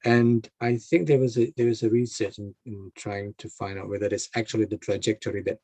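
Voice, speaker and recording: Gravelly, low-voiced man explaining casually. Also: steady tone, brisk, dark tone, no echo. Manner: loose and conversational, often restarting phrases; checks in with listeners with "right?". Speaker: guest speaker at an English club. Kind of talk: informal explanatory talk.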